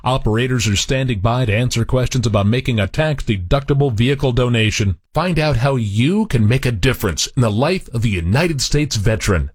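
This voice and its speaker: A man reads a charity radio advert in an earnest, measured announcer style. His voice is husky and dry, with a lively pitch. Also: dry recording.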